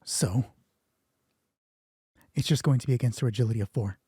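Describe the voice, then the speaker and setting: Husky male solo game master. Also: medium pitch, warm tone, no echo, studio quality. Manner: halting, thinking aloud. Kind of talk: improvised narration of tabletop roleplay, reading dice.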